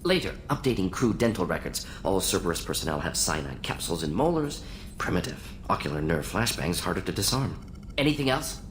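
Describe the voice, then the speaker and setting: An actor playing a brisk, clinical alien scientist in a video game, talking in clipped, preoccupied phrases. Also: male voice, low voice, big pitch swings. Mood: busy, disdainful. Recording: noisy game ambience.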